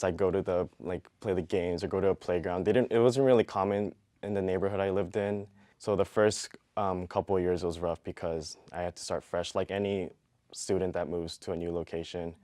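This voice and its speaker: A young man answering interview questions in a measured, reflective way. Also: low voice, darkish tone, no echo, clean studio sound.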